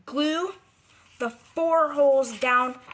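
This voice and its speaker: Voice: high voice, drawn-out words, fairly bright tone, a little hiss or hum. A video creator giving a casual how-to craft tutorial, rambling, correcting themselves and repeating points for emphasis. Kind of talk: casual DIY how-to vlog talk.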